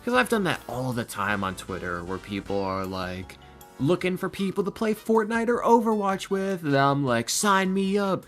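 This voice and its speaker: A man's voice: fairly high pitch, very animated, normal speed, soft background music. A man chatting informally as a podcast guest, telling anecdotes and acting out the quotes in them. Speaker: podcast guest, voice actor and ASMR creator. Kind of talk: casual chat, storytelling.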